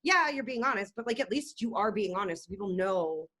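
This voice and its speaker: A woman's voice: medium pitch, expressive, a little fast, pushed and bright. A young woman co-hosting a casual podcast chat, rambling and filling her talk with "like". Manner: chatty, rambling, uses "like" a lot. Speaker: co-host.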